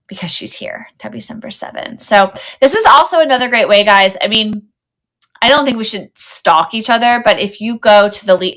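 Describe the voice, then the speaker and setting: A woman's voice: medium pitch, fairly bright tone. A woman hosting a casual live sale stream, chatting with viewers and thinking aloud as she goes. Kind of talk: casual livestream chat with viewers.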